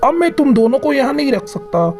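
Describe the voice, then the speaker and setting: A woman's voice: high voice, very animated, fairly quick, darkish tone. A woman voicing a callous, grumpy male bird in a cartoon moral story, scolding and complaining. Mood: furious. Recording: music behind the voice.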